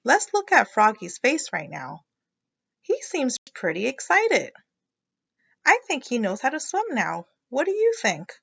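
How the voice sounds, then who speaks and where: A woman teacher gives a children's read-aloud, explaining and checking understanding as she goes. Her voice is bright and very animated at an unhurried pace, on a slightly dull recording. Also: high-pitched, gravelly, slightly rough. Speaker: teacher reading a picture book aloud.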